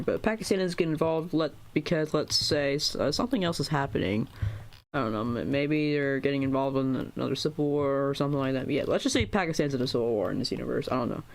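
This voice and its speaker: Young man, American, casual map commentary, meandering, slightly high-pitched. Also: quick pace. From United States. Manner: rambling, many fillers and restarts. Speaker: map video creator.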